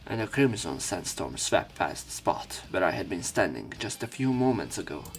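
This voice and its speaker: A man narrating a visual novel aloud as a let's play, reading haltingly and slipping into casual commentary. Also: low voice, sing-song, creaky, poor audio. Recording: game music behind.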